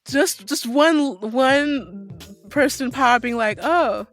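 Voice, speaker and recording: A woman's voice: sits high, expressive, slow, faint music behind. A woman hosting a podcast interview in a casual, playful and informal conversational style. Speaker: podcast host interviewing an author.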